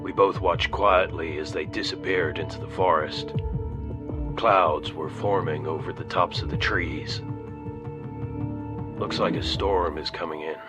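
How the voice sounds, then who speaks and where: A solemn colony leader recording a log in a science fiction audio drama. His voice sits low, with grave, measured, clipped delivery. Music plays clearly underneath. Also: steady tone. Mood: solemn, resolute. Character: solemn male colony leader logging a search.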